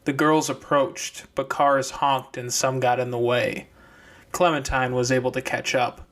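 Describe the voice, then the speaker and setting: A man's voice: medium pitch, flat delivery, a little slow, a bit bright. A man narrating scripted fiction as an audiobook reader.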